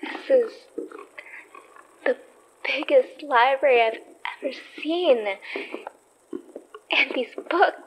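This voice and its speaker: A woman's voice: high voice, slow, pauses often, a little hiss or hum, low-quality recording. A woman playing an anxious but plucky young investigator in a horror audio drama, speaking in a hesitant, nervy way. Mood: uneasy awe.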